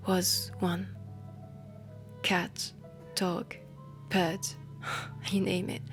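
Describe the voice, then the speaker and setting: A woman playing a refined, ambitious heiress inventor in a steampunk audio roleplay, speaking softly and deliberately with a French accent, often close to a whisper. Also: lowish voice. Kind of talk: soft intimate audio roleplay. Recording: music under the voice. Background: background music.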